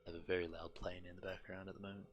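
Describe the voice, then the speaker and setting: A man giving casual, matter-of-fact and slightly halting gameplay commentary as a streamer, reading on-screen text aloud. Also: low voice, level tone, slight background noise. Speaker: game streamer.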